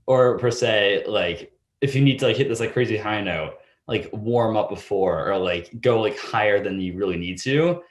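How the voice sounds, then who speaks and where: A man's voice: lowish voice, normal speed, roomy sound. A teenage boy answering interview questions casually, rambling and hesitant, filling with "like". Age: teens. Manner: rambling, heavy use of "like", hesitant. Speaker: student guest.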